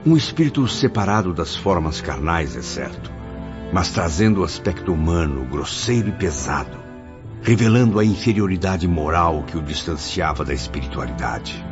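A deep-voiced, grave and compassionate spirit recounts his memories in a Brazilian radio drama. His delivery is solemn and very expressive, over music in a dull recording. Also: moderate pace. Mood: solemn, moved. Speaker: narrator character in a radio drama. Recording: dull lo-fi audio under music. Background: background music.

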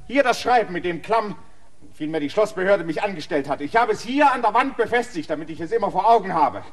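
An actor playing a stubborn land surveyor in a radio play, insistent and emphatic. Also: male voice, sits high, expressive, quick pace.

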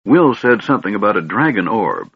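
A man's voice: lowish voice, very animated, a little fast, vocal fry, slightly rough, dry recording, pitched above the performer's own voice, exaggerated voice. A man voicing a stern, suspicious noble knight who speaks in a clipped, guarded way in an audiobook. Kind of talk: audiobook character voice. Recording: dull, slightly lo-fi recording.

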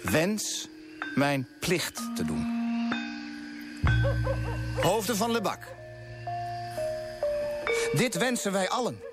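A man's voice: high voice, huge pitch swings, drawn-out words, long pauses, over loud music, heavily acted. A man acting a righteous, idealistic colonial official in a radio drama, speaking in an earnest, insistent, declamatory way. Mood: solemn, oratorical. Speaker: actor in a radio play.

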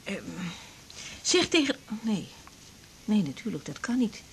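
An actress playing a young doctor in a radio drama, patient with others but downcast, with a husky voice. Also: female voice, mid-range voice, very animated, unhurried, slight background noise. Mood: troubled. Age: young adult. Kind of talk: naturalistic radio drama acting.

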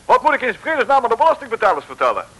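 Blustering high-voiced colonel. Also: male voice, huge pitch swings, very fast, fairly bright tone, full character voice, poor audio. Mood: outraged. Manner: gruff, exclamatory, scolding. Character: blustering, irritable male colonel and spy chief. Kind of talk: old radio serial acting.

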